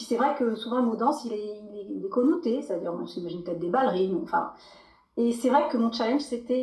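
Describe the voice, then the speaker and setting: A woman with a French accent, a podcast guest telling her story in a loose, animated way with many fillers and restarts. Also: on the high side. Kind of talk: casual podcast interview. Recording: echoey room.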